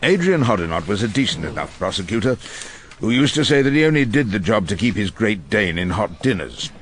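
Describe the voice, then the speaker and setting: An actor playing an irascible, wry elderly English criminal barrister, narrating a radio drama in husky, literary, ornate phrases. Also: male voice, fairly low pitch, very animated, fairly quick, slight background noise. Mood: wry, world-weary.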